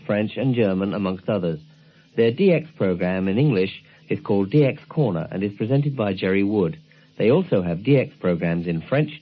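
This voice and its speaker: A man presenting a radio programme, giving measured, informative scripted narration. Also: fairly low pitch, husky. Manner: measured, informative announcer delivery. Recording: tinny narrow-band 1970s shortwave broadcast recording.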